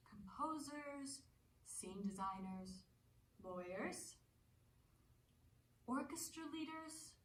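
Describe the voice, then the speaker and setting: Woman acting a poised worldly actress in a stage play, a bit high, wry and teasing, echoey room. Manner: poised, wry, gently mocking. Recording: roomy, distant mic, faint noise.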